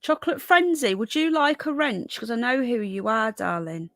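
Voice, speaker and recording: A woman's voice: on the high side, animated, normal speed, no echo, clean studio sound. Congested British woman hosting a live stream. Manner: chatty, reads chat comments aloud and greets viewers. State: congested and croaky from a cold. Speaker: live stream host reading news. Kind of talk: casual live stream commentary.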